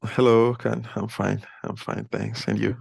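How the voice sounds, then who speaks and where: A man from Cape Verde speaks as a podcast guest, slowly, hesitantly and with lots of fillers and restarts. His low voice has a gravelly fry. The recording is dry. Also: level tone, a little mellow, dry recording. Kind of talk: casual interview.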